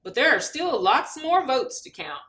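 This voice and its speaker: A woman reads aloud for children with a bright, clear-cut edge to her voice. She takes her time, and her pitch swings widely as she expresses each phrase. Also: sing-song, measured pace. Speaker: reader of a children's picture book.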